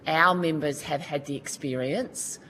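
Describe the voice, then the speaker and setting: A woman's voice: sits low, measured pace, sharp tone, slight background noise, low-quality recording. An Australian woman giving earnest, colloquial testimony at a Senate committee hearing. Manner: earnest, colloquial, restarts often. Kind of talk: formal testimony.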